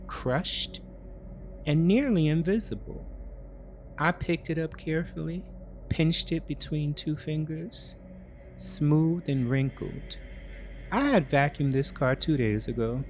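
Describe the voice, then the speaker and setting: A man narrating a scripted first-person psychological thriller in a deliberate way, with short weighted phrases and dramatic pauses. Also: mid-range voice, a little mellow, slight background noise. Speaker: story narrator.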